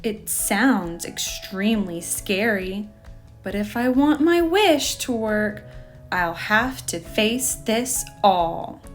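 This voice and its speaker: A woman's voice: medium pitch, expressive, slow, soft background music. A woman voicing a little witchling girl in a storybook, wistful and timid but eager, with dramatic delivery. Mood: apprehensive. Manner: dramatic, exclaiming and sighing.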